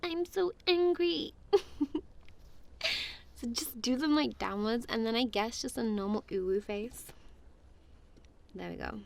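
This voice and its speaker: A woman's voice: fairly high pitch, takes it slowly, gravelly, pauses often, darkish tone. A woman playing a goofy, affectionate friend in an ASMR roleplay, chatting casually in a playful, giggly, rambling way.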